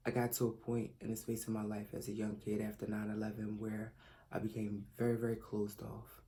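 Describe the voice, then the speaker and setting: A man in his late twenties from Philadelphia makes an earnest audition pitch as a fashion designer. His voice is low and mellow and stays quite flat. He hesitates often, stumbling through fillers and restarts like a shy, reserved speaker. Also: fairly quick, slight background noise. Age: late 20s. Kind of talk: self-recorded audition pitch.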